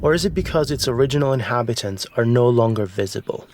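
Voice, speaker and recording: A man's voice: mid-range voice, animated, fast, a little mellow. A man reading scripted documentary narration as the film's narrator. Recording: music behind the voice. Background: background music.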